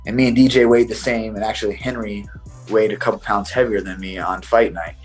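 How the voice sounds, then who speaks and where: A man, a professional fighter, giving a casual interview answer in a halting but self-assured way. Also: on the low side, fairly even intonation, breathy edge, faint music behind.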